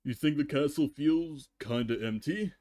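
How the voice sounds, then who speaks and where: A man's voice: on the high side, moderate pace, mellow, no echo, pitched above the performer's own voice, close to an everyday voice. A man voicing a cheerfully callous young female cartoon pony in a nonchalant, matter-of-fact way. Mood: breezy glee.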